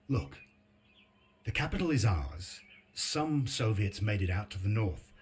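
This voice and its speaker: An actor playing a calm resistance commander in a video game cutscene, briefing in a measured, matter-of-fact way. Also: male voice, lowish voice, slight background noise. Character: calm male resistance commander planning military attacks.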